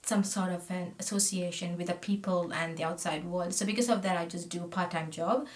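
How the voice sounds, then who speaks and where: A woman's voice: on the low side, fairly even intonation, a little fast. Chatty woman from Sri Lanka vlogging. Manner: talkative and friendly, often trailing off while working. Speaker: makeup vlogger. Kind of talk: chatty makeup tutorial. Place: small indoor room, plain backdrop. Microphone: camera facing her at close range.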